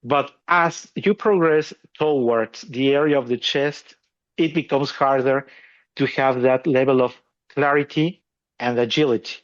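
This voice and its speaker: A man's voice: medium pitch, steady tone, measured pace. Chuckling man explaining, dull lo-fi sound. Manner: chuckles often while explaining, restarts phrases. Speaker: podcast host. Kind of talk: explaining opera singing technique.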